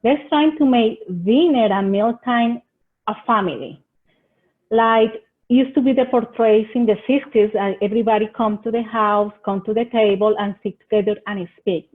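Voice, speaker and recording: A woman from Colombia with a Colombian Spanish accent, giving earnest home-living tips in a webinar presentation. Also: mid-range voice. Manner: earnest, gives advice in short phrases with some hesitations. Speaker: extension agent presenter. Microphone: video call. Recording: tinny phone-like video call audio.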